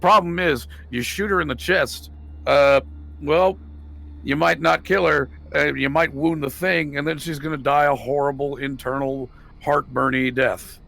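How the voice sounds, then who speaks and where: A man's voice: on the high side, fairly even intonation, a little slow, gravelly, a bit bright. A man playing a tabletop roleplaying game, chatting casually and arguing his case in an insistent, heavily profane way. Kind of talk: casual in-character table chat.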